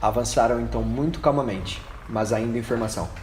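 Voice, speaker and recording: A man's voice: mid-range voice, quick pace, a crowd in the background. A man reading scripted narration for an amateur radio drama. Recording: echoey room with faint noise.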